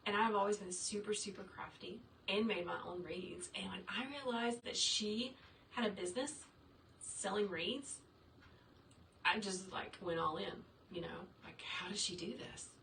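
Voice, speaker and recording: Brief: upbeat, chatty woman craft teacher, mid pitch, mic set off from her in a reverberant workshop. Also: measured pace, a bit bright. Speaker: wreath maker teaching a craft. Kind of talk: casual livestream tutorial reading viewer comments. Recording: roomy, distant sound with faint room noise.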